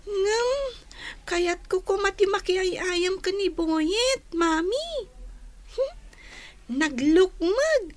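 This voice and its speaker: Very high, unhurried young child. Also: female voice, lively intonation, full character voice. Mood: playful. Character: eager, whiny, playful young child. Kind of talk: Ilocano radio drama acting.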